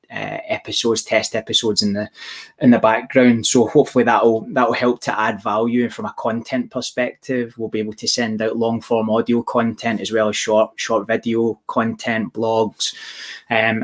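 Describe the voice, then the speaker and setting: A young man from Scotland with a softened Glaswegian accent, answering questions about his business in a casual, rambling way full of restarts. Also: fairly low pitch. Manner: rambling, many restarts and fillers. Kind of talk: casual Q&A chat.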